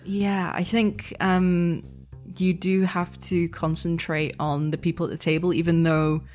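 A woman's voice: lowish voice, little pitch movement, a little slow, darkish tone, faint music behind. A woman answering as a podcast guest and game master in a conversational, thoughtful, explanatory way.

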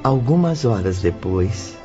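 Radio drama narrator, slow n solemn, expressive pitch, music under it, bit muffled. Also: male voice. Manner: solemn, measured. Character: solemn male narrator of a spiritual tale. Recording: dull lo-fi audio, music behind.

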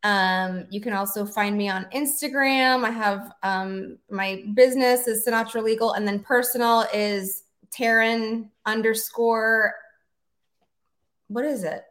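A woman in her forties who is a guest attorney on a podcast, chatting in a relaxed way and often trailing off with fillers. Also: a little high, measured pace. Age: 40s. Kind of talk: casual interview chat.

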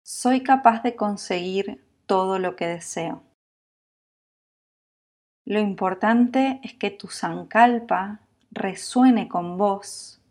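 Very slow Latin American woman guiding meditation. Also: mid-range voice. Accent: Latin American Spanish with voseo. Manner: calm, soothing, unhurried instruction with long pauses. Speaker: yoga nidra meditation guide. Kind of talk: guided sleep meditation.

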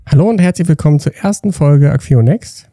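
Gravelly man hosting a podcast. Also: on the high side, brisk, mellow, no room sound, faint music behind. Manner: chatty, loose, with restarts. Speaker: podcast host.

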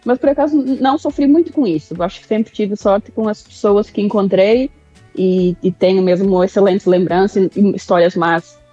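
A woman in her thirties, Brazilian-born, whose accent carries European Portuguese touches. She talks in a mellow, reflective way, pausing and restarting often. Her audio is dry and slightly dull, like a remote call line. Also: mid-range voice, no room sound, faint music behind. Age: mid-30s. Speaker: guest. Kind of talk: remote interview.

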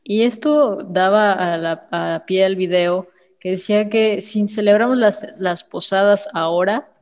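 A woman host explaining in a measured way, then handing over to the guests. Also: medium pitch, a little mellow. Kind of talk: casual panel chat. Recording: reverberant room.

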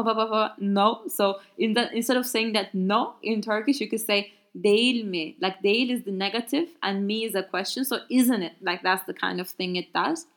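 A woman's voice: medium pitch, fairly bright tone. Chatty woman teaching a language. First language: not English. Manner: casual, chatty explaining with many fillers and restarts. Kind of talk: explaining a Turkish dialogue in English.

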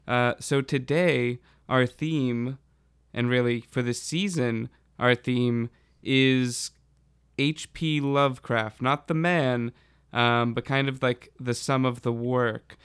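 A man's voice: medium pitch, steady tone, slow, a bit bright, clear, resonant tone, no room sound, studio quality. A man hosting a podcast in a conversational style, introducing and steering the discussion. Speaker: podcast host.

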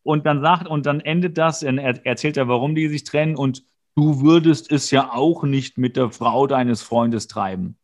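A man's voice: mid-range voice, moderate pace. A man co-hosting a podcast, giving a casual, jokey recap with wry asides and bits of mimicry. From Hesse, Germany. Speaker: podcast co-host. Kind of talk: casual comedic episode recap.

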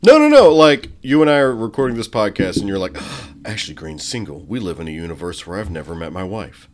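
Guy about 30 podcasting with a buddy, lowish voice that jumps around a lot, teasing, swears some. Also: sing-song, brisk. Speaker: podcast co-host. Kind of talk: casual chat between friends.

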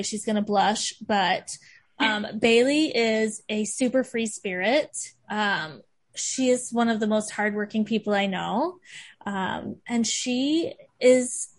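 A woman hosting a podcast in casual chat, gushing and chatty, with plenty of "like" and "um" and quick laughs. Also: fairly high pitch. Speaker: podcast host.

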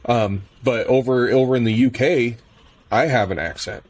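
A man's voice: mid-range voice, normal speed, a little mellow, a little hiss or hum. A man with an American Midwestern accent hosting a podcast interview, asking questions hesitantly and repeating words. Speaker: podcast host.

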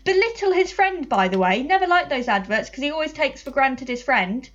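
A woman's voice: high-pitched, animated, a little fast. A woman co-hosting a casual podcast, outspoken and opinionated, swearing freely. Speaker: podcast co-host. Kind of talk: casual chat. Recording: dull lo-fi podcast audio.

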